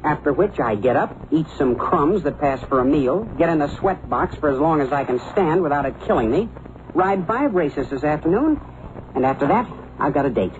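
A man's voice: fairly high pitch, expressive, normal speed, natural voice. A man playing a bitter, defensive young jockey, curt and sarcastic, in an old radio drama. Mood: bitter, defiant. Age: young adult. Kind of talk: 1950s radio drama acting. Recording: muffled, hissy old radio recording.

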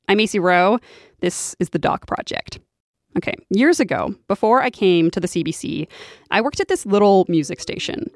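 A woman hosting a podcast, telling stories in conversational, scripted narration. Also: mid-range voice. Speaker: podcast host.

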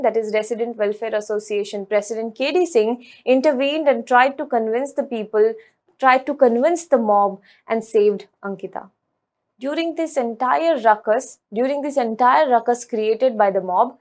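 A woman presenting a scripted news report in an earnest, measured way. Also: fairly high pitch, a little mellow.

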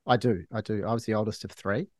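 A man's voice: fairly low pitch, steady tone, dry recording. Thoughtful Australian man, dry sound. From Melbourne, Australia. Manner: measured, thoughtful, with many restarts. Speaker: guest, hospital doctor. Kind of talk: casual interview chat.

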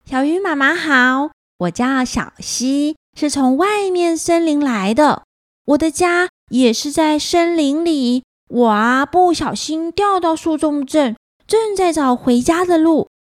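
A woman's voice: sits high, slow pace, dry recording, studio quality. A woman voicing a timid, polite little lost girl in an earnest, wondering manner for a children's story. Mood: polite, pleading.

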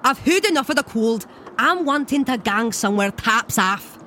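Grumpy scottish lake monster lady, high sharp voice, mouthy, audio comedy, bit of background noise. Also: animated, normal speed. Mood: feisty, dry. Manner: brash, salty, indignant slang-heavy talk. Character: huge, grumpy, foul-mouthed female Scottish loch monster. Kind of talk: audio fiction comedy acting.

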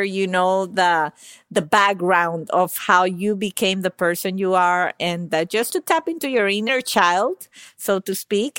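Female podcast host, thirties to forties, casual interviewer, medium pitch, dry recording. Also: clean tone, dry recording.

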